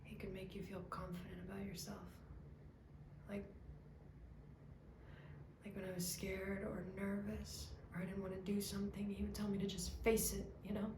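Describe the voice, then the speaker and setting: A woman performing a dramatic monologue as a grieving, self-doubting young cook, speaking in a halting, confessional way. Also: medium pitch, monotone, lightly acted. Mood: halting, subdued. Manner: halting, hesitant, confessional, with stammered restarts. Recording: echoey room, clear background noise.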